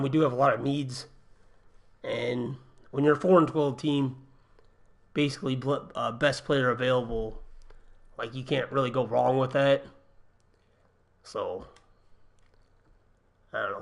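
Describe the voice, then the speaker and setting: Young male streamer, slightly raspy from a cold, relaxed and rambling, mid-range voice. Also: medium pitch. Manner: relaxed, thinking aloud with many ums and uhs. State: getting over a cold, a little raspy. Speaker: gaming livestreamer. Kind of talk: casual livestream commentary. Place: home apartment.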